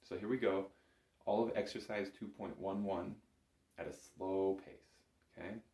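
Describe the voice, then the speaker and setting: A man with a deep voice gives an instructional lesson in a reverberant room. He is patient and informal, guiding learners one step at a time. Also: steady tone, measured pace, darkish tone. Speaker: bagpipe instructor. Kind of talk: instructional music lesson to camera. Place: indoor room, echoey. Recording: echoey room.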